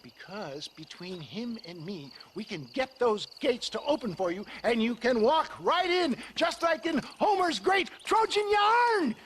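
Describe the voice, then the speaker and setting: A man's voice: extremely high pitch, big pitch swings, measured pace, few pauses, a bit bright, slight background noise, exaggerated voice. An actor playing a flamboyant, scheming old-time salesman with a grandiose, theatrical way of talking in a game cutscene. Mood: grandly excited. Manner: florid, theatrical, grandiose wording. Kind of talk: video game cutscene acting.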